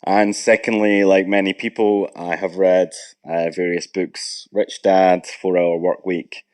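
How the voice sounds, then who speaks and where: A Scottish man in his thirties, speaking as an interviewed guest in a measured, thoughtful way with pauses. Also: sits low, flat delivery, darkish tone, smooth, no room sound. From Scotland, Ayrshire. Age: 30s. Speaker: guest property investor. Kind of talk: recorded interview answers.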